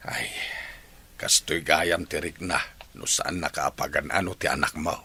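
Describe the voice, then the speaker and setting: A man's voice: rumbling low, fairly even intonation, a little slow, sharp tone, slightly rough, full character voice. A man playing a remorseful, heavy-hearted middle-aged father in a radio drama, speaking earnestly.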